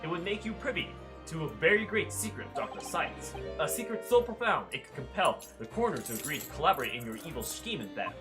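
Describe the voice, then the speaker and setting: Earnest game defense lawyer, woman acting, low-voiced, formal, accusing, very animated, music behind. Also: fast talker. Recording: music under the voice.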